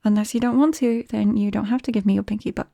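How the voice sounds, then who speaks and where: A British woman playing a shy, nervous vampire best friend in an ASMR roleplay, speaking softly and haltingly and often slipping into a whisper. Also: mid-range voice, steady tone, no echo, lightly acted. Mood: tentative, hopeful. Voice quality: soft, breathy, often dropping to a whisper. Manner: hesitant, bashful, halting.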